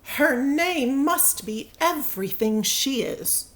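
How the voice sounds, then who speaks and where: A woman's voice: sits high, lively intonation, takes it slowly, a bit bright, pitched above the performer's own voice, exaggerated voice. A woman voicing a doting, reassuring mother in a children's storybook. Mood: adoring.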